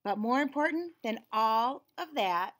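Expressive higher-voiced American woman reading aloud. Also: unhurried. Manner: expressive, animated storytelling for young children. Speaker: read-aloud host and teacher. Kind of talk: children's picture book read-aloud with asides. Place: small indoor room with shelves and cabinets.

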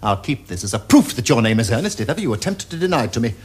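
An actor playing a witty, teasing young gentleman with an upper-class English accent, in a theatrical period comedy. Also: medium pitch, big pitch swings, rapid-fire, lightly acted. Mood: teasing, insistent. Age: young adult. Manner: theatrical, epigrammatic, crisp upper-class phrasing. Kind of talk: period stage comedy acting. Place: furnished studio drawing-room set.

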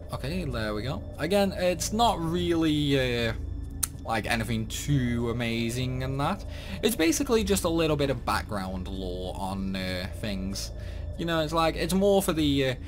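Chatty man commentating gameplay. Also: on the high side. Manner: chatty, rambling, animated. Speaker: gaming YouTuber.

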